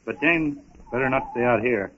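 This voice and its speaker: An actor playing a wild but tender ape man in a 1930s radio style, speaking simple, halting broken English. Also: mid-range voice, natural voice. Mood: alert. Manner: halting, terse pidgin speech. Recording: muffled, noisy 1930s radio recording.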